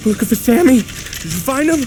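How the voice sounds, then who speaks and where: A brash joking mobster. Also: male voice, very high voice, very animated, a little fast, background noise. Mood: tense. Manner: crude joking, tough street talk. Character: brash wisecracking male mobster, middle-aged. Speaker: gangster. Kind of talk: video game cutscene acting.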